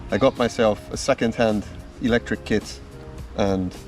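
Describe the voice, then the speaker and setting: A man, talking outdoors in the woods as a drumming vlogger, easygoing and unhurried. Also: lowish voice, fairly even intonation, darkish tone. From Slovakia. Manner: slow, easygoing storytelling with small pauses. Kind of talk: casual self-introduction to camera. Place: outdoors in woodland. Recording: distant mic with faint music behind.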